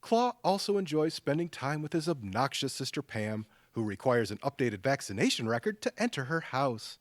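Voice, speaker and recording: A man plays a sardonic but supportive older brother in an audio drama. He teases drily, with lively swings in a mid-pitched voice. The recording is close and dry. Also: moderate pace, dry recording, natural voice. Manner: dry, teasing humor.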